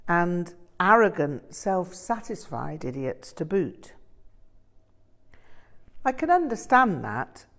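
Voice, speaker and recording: Eager, argumentative male student, respectful and emphatic, unhurried read by a woman. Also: fairly low pitch, full character voice. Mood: earnest. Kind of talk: audiobook character dialogue.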